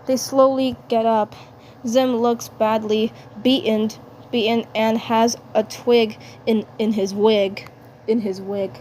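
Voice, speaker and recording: A woman narrating a fan fiction script haltingly, often repeating words and phrases. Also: on the high side, level tone, a little mellow. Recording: clear background noise.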